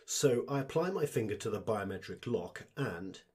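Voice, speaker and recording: A man's voice: lowish voice, expressive, moderate pace, very noisy, lightly acted. A man playing a shady antiques dealer in an audio drama, speaking in ornate, pompously deferential salesman's patter. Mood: oily, deferential.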